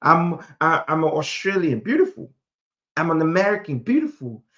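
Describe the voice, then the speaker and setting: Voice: mid-range voice, sing-song, normal speed, fairly bright tone, lo-fi sound. A speaker from Africa preaching a motivational sermon in an emphatic manner, repeating phrases and posing rhetorical questions.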